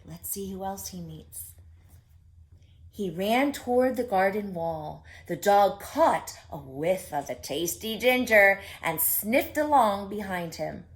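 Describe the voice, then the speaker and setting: A woman reads a children's story aloud at an unhurried pace. Her mid-range voice rises and falls a great deal, and she is expressive, speaking straight to her young listeners. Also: mid-range voice. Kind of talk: read-aloud for preschoolers.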